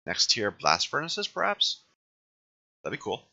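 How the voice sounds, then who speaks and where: A man with a hoarse voice from being sick, hosting a game playthrough and thinking aloud as he plays, often correcting himself. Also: medium pitch, wildly swooping pitch, a little slow, pushed and bright, dull audio. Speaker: let's play host. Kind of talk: casual gameplay commentary.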